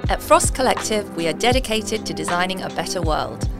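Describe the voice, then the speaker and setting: Gravelly-voiced woman reading an ad over music. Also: mid-range voice, expressive, fairly quick. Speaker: ad announcer. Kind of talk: scripted advert read.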